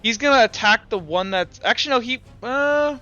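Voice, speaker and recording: Man running a tabletop game: higher, bright voice, works through the rules out loud and corrects himself. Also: expressive, moderate pace, muffled recording. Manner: talks through rules and dice aloud, often correcting himself. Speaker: game master. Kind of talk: narrating and refereeing a tabletop game.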